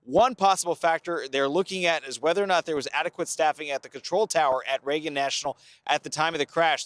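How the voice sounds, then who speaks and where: A middle-aged American man reporting live as a TV news correspondent, speaking in a measured, careful, explanatory way. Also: a little high, a bit bright, no room sound. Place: outdoors by a river at night.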